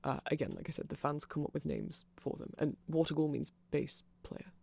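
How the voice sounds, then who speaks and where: Casting: ASMR voice, androgynous mid pitch, gravelly fry, very dry sound, casual and digressive nerd. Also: steady tone, fairly quick, a little mellow, dry recording. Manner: nerdy, self-deprecating, digressive. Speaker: ASMR creator explaining band lore. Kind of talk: casual rambling ASMR explainer.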